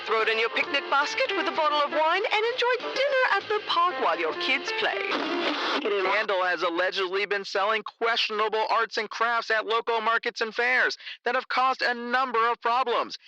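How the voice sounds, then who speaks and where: An actress playing a radio presenter reading scripted segments in a comedic audio drama. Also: female voice, a little high, animated, normal speed, low-quality recording. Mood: polished. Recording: loud music over radio clips.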